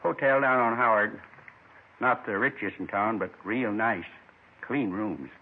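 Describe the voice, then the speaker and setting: An actor playing a lonely, gentle man in his seventies, folksy and wistful, confessing in short rambling phrases in 1950s radio drama style. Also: fairly low pitch, unhurried, breathy edge. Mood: proudly nostalgic. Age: 70s. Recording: muffled 1950s radio recording.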